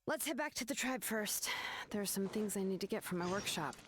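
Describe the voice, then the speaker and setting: A woman's voice: mid-range voice, expressive, brisk, a little hiss or hum, close to an everyday voice. A woman voicing a frank, composed young craftswoman in a video game, matter-of-fact but caring, with music behind her. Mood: casual, matter-of-fact.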